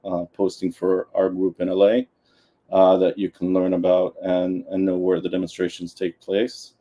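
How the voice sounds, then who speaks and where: A man speaking at a community gathering, his voice very low and dark. He delivers the talk in a flat, even tone. He pauses often and restarts his sentences. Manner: hesitant, many ums and restarts. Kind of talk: public talk at a community event.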